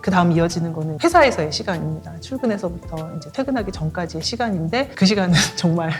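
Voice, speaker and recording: A woman of forty, an author being interviewed, answering in a measured, polite way with light laughs. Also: on the low side, lively intonation, faint music behind. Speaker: author guest.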